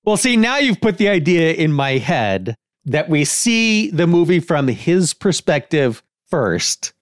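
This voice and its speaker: A man's voice: a little high, big pitch swings, unhurried, dry recording, clean studio sound. A man talking casually as a podcast co-host, animatedly thinking aloud through ideas in a brainstorming discussion.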